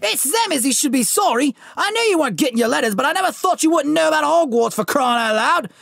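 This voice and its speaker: A hulking, shaggy giant of a man with a gruff heart booms out his rustic speech, as a woman puts him on. The voice sits well above the reader's own low tone and swings widely. It is a bit husky, and the room sounds dry. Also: very animated, normal speed, no room sound. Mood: angry. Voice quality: loud, booming, rustic speech.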